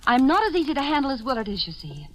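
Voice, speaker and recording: A woman's voice: high voice, very animated, fast talker. An actress playing an imperious, hot-tempered young woman in a 1940s radio drama. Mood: cold, threatening. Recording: noisy vintage radio recording with clicks.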